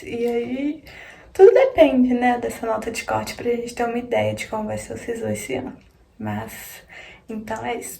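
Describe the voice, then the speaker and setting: A woman's voice: fairly high pitch, creaky, dark tone, a little hiss or hum. A young woman vlogger chatting casually to her viewers, with frequent fillers. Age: young adult. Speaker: student vlogger. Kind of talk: casual vlog chat. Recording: echoey room, faint music.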